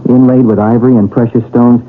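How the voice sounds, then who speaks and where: A man's voice: mid-range voice, flat delivery, fast, lightly acted. An actor playing a polite, deferential man with a formal, scholarly delivery in a 1970s radio mystery. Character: polite, deferential man reading his historical paper. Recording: narrow, tinny old radio recording.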